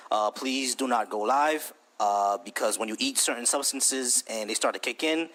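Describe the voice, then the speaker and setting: Male host, mid pitch, easygoing slangy moderator. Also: moderate pace, fairly bright tone. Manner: laid-back, slangy, easygoing. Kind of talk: live debate stream hosting.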